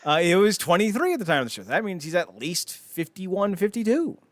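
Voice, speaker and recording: A man's voice: sits high, big pitch swings, a little fast, fairly bright tone, no echo, studio quality. A man hosting a podcast with casual, comedic chat, riffing and swearing freely. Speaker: podcast host.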